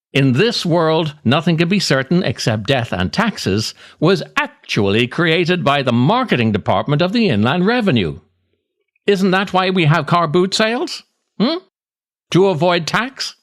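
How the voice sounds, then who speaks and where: A middle-aged man reading a scripted comic monologue as a podcast host, in a wry, self-deprecating way with theatrical pauses. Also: mid-range voice. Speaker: podcast host, writer reading own essay.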